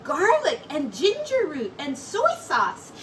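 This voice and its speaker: A woman voicing excited storybook villagers, eagerly calling out in exclamations. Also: sits high, slow, heavily acted. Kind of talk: storybook read-aloud. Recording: distant mic with clear background noise.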